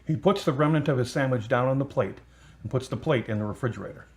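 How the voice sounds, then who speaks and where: Male narrator for scripted drama, mid-pitched and husky. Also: fast.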